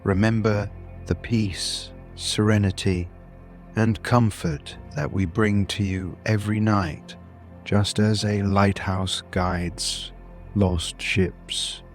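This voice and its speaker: A man narrating a scripted bedtime story in a soothing, deliberate manner, with long pauses between short phrases. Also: lowish voice, steady tone, faint music behind. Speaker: host and narrator of a sleep story podcast.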